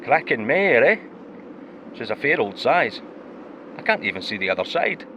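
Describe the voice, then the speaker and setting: A man's voice: mid-range voice, very animated, moderate pace, lo-fi sound, background noise. An actor playing a wry man with dry humor. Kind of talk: audio drama acting.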